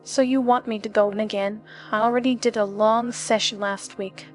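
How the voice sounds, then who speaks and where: A woman's voice: a little high, fairly even intonation, normal speed, a little mellow, close to an everyday voice. A woman playing a vulnerable, wounded young test subject in an audio story. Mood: reluctant. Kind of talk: scripted audio story dialogue. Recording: music playing behind the voice.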